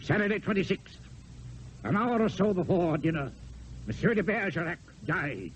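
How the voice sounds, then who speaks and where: An actor playing a proud, lovelorn, dying poet-swordsman in an old-time radio play, with theatrical, declamatory delivery and drawn-out poetic phrases. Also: high-pitched, lively intonation, slight background noise. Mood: wry, bitter. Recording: dull lo-fi old radio, music.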